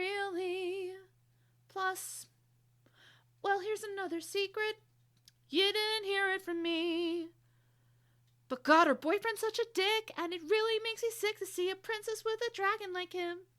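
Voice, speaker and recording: A woman's voice: very high-pitched, sharp tone, exaggerated voice. A woman playing an awkward, earnest teenage girl who confides in musical theatre song.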